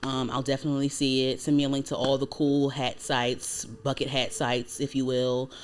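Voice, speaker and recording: A woman's voice: rumbling low, level tone, moderate pace, clean tone. A woman in her late twenties hosting a podcast, chatting casually with lots of fillers and "like"s. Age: 20s. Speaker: podcast host. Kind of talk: casual chat.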